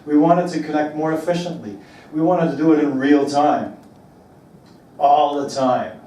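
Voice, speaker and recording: A man from Belgium giving a talk to an audience, asking rhetorical questions and slowing down on emphatic words. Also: a little high, fairly even intonation, mellow. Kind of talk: presentation to an audience. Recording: roomy echo from far off, faint ambience.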